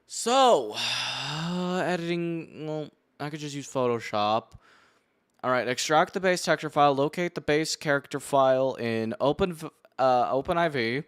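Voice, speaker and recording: Slow-talking profane male streamer. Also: fairly high pitch, animated, clean tone. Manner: profane, muttering to himself while working. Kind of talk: casual live-stream commentary.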